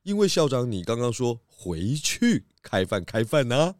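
Hungry, animated pig detective. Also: male voice, medium pitch, unhurried, slight background noise. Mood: polite, eager. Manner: hesitant filler sounds, exclamatory. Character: hungry male pig detective, good-natured and polite. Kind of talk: children's audio drama acting.

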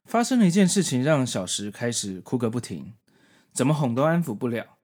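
A man's voice: medium pitch, sing-song, a little fast, a little mellow. A man hosting a podcast, narrating and commenting on a story from prepared notes in an explanatory way. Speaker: podcast host.

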